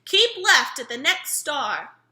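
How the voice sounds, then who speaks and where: A woman voicing a bossy, matter-of-fact female satnav machine in a children's storybook, announcing deliberately. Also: sits high, big pitch swings, sharp tone, echoey room, full character voice.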